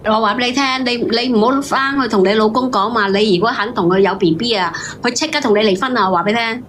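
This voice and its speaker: A woman's voice: a little high, quick pace, fairly bright tone, a little hiss or hum. A woman with a Hong Kong Cantonese accent lecturing emphatically as a call-in participant. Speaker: call-in participant giving advice. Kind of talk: unscripted call-in argument.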